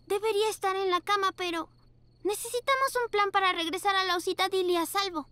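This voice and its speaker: A woman voicing an earnest, eager, easily worried little girl puppy in a dubbed preschool cartoon, expressive and childlike. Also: very high-pitched, fairly quick, no room sound. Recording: music behind the voice.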